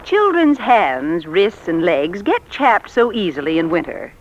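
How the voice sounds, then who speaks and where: A commercial co-announcer giving a 1940s radio advertising pitch. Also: very animated, a little slow. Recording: dull 1940s radio recording.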